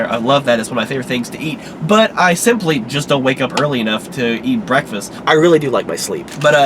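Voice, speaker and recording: A man's voice: a little high, background noise. Congested young-sounding man reviewing food. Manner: rambling, easygoing, many fillers. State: congested, a little hoarse. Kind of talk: casual food review vlog.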